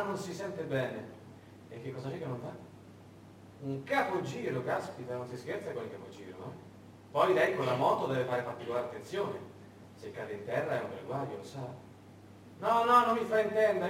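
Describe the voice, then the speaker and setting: A man playing a sarcastic, menacing army officer who needles with rhetorical questions in a stage drama. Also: natural voice. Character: sneering, menacing Wehrmacht officer, mock-polite then enraged. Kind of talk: theatre monologue acting. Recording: very echoey theatre, distant, rumbly noise.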